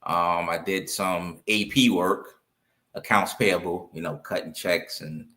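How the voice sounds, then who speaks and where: A man in his fifties from New York with a low-pitched voice. He speaks as a guest in a conversational interview, explaining things in a storytelling way and repeating points for emphasis. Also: steady tone, measured pace, sharp tone. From Brooklyn, New York. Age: 50s. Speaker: interview guest, accountant and business owner.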